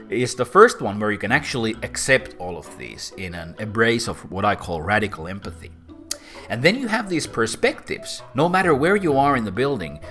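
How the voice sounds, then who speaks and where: A man with a Finnish accent, explaining a theory casually to camera in a playful, animated way. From Finland. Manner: animated, swooping pitch, playful asides. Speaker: host and philosopher.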